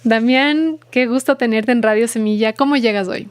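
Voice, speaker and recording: A woman's voice: a little high, normal speed. A woman speaking as a podcast host, interviewing a guest in a conversational way.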